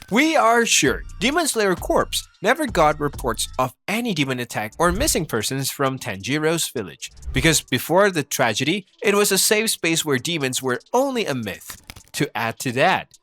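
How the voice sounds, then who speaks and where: A man's voice: a little high, fairly bright tone. A man reading scripted video essay narration with rhetorical, mock-exasperated delivery. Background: background music.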